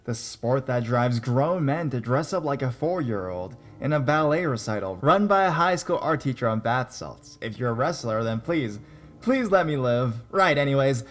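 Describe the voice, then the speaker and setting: A man reads viewer-submitted horror stories aloud at a middle pitch. He keeps a steady storytelling delivery and drops in dry, sarcastic remarks along the way. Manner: wry, sarcastic asides. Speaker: narrator. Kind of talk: scripted narration of reader stories.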